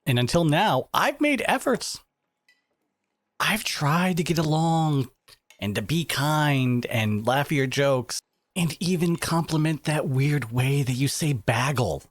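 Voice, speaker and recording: A man playing a devoted, protective boyfriend in a dramatic roleplay audio, speaking emphatically with sarcastic edges and dramatic pauses. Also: fairly high pitch, animated, dry recording. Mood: indignant, resolute.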